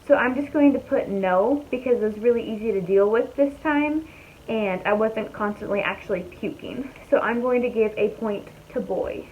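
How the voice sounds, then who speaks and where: A young woman talking casually to her viewers from home, her voice slightly raspy and hoarse, chatty and easygoing as she thinks aloud. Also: on the high side, moderate pace, phone-quality sound, a little hiss or hum. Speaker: vlogger. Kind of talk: casual chat to camera. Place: at home, indoors.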